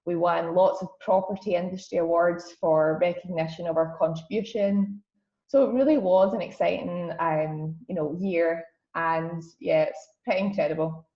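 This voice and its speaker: A woman's voice: lowish voice, steady tone, moderate pace, echoey room, dull audio. A woman in her twenties with a broad Scottish accent, giving a chatty webinar talk as guest speaker over a video call, with plenty of ums. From Scotland. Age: 20s. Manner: friendly, chatty, many ums. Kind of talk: webinar presentation.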